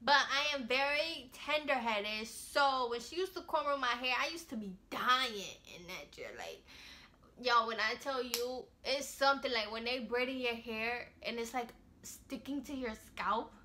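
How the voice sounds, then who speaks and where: Young woman vlogging, kinda high bright voice, super casual and slangy, laughs a lot. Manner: chatty, slangy, laughs often. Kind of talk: casual storytelling to camera.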